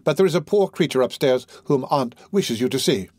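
A man voicing a gentle, sweet-natured girl of seventeen who speaks playfully. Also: medium pitch, lively intonation, a little fast, no room sound, studio quality, natural voice.

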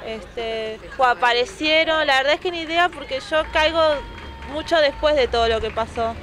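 A young Argentine woman in her twenties answers shyly and softly, leaning on fillers. Her voice is bright, sharp-edged and fairly high. She stands on a city sidewalk, speaking into a handheld microphone, with faint street noise behind. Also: moderate pace. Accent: Argentine Spanish. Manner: shy, soft, with many fillers. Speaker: new mother interviewed. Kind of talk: news street interview. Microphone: handheld reporter microphone.

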